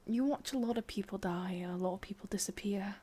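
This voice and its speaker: A woman with an English accent voicing a sadistic, lovesick witch in a whispered, intimate ASMR roleplay, murmuring slowly and haltingly. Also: mid-range voice, dark tone, natural voice. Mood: wistful, melancholy. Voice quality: breathy, whispery. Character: sadistic, lovesick young witch, wistful and possessive.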